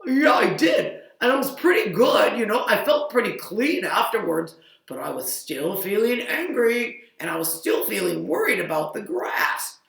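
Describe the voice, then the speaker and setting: A fretful, grumpy farm cow as a woman puts him on in a homemade storybook tale, hollering complaints in a high, strained voice that swings widely up and down. The voice is bright with a gravelly edge. It is recorded a little away from the mic in a roomy, echoing space. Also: very animated, moderate pace, distant mic. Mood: worried, indignant. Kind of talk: improvised children's story.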